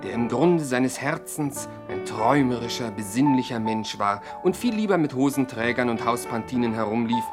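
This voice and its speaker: A man narrating a 1960s radio comedy from a script in a droll, deliberate way. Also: mid-range voice, animated. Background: plucked string music.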